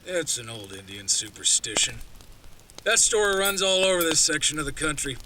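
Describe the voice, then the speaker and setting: A man playing a hearty backwoods man in a horror audio drama, with folksy rural phrasing and a storyteller's pauses. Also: on the high side, lively intonation, bright, forceful tone, a little hiss or hum, poor audio. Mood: ominous storytelling. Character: gruff, hearty backwoods man retelling wilderness legends.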